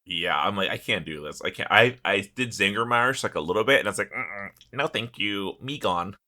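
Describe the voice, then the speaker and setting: A man hosting a podcast in casual, comedic chat, exclaiming and swearing freely in an animated way. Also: fairly low pitch, brisk. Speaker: podcast host.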